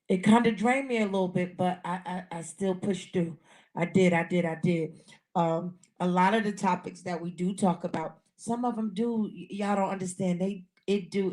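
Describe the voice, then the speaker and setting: Raspy woman hosting a slow podcast. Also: on the low side, a little mellow. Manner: repetitive, earnest, many restarts. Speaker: podcast host. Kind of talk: casual live podcast talk. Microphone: handheld mic.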